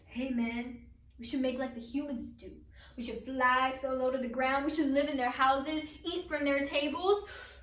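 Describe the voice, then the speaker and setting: Excitable high-voiced blackbird, played by a woman. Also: unhurried. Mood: eager scheming. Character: excitable argumentative blackbird with reckless big ideas. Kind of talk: dramatic storytelling performance. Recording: roomy, echoing, distant microphone.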